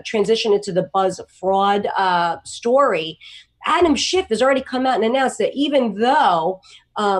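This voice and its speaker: A woman co-hosting a political talk show, animated and sarcastic with quick asides. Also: medium pitch. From New York. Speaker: co-host.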